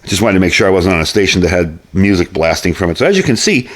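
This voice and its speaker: A man's voice: low voice, expressive, racing, a bit bright. A man hosting a casual product review vlog, explaining things in a conversational, folksy way.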